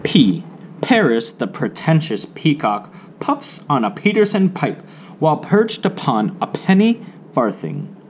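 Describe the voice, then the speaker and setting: A man narrating a children's alphabet picture book aloud, in deliberate, separated phrases with drawn-out words. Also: on the high side, sing-song. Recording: faint background noise.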